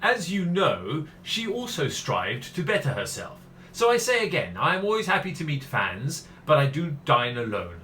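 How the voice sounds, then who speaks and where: Pompous, vain author for radio comedy, played by a man, bright voice swinging widely in pitch, clear background noise. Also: big pitch swings, normal speed. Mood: pompous, condescending. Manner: pompous, florid, condescending. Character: vain, pompous, flirtatious male detective novelist. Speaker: voice actor. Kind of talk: audio comedy radio play acting.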